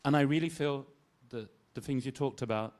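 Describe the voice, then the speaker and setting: A man's voice: medium pitch, normal speed, darkish tone. A man in a conference audience asking the panel an earnest, winding question. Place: conference hall.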